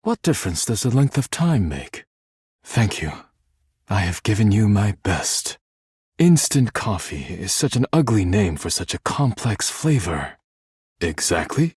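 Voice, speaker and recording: Casting: a proud, solemn living dish for a video game; low, breathy-rough voice, formal measured diction, reserved poet's temper, close dry sound. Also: male voice, very animated, a little mellow, no echo, full character voice. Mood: courteous, proud. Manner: formal, measured, grave, carefully worded diction. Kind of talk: video game character dialogue.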